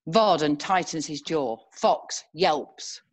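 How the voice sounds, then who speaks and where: A woman's voice: low-pitched, slow. A woman narrator reading scene descriptions as scripted screenplay narration.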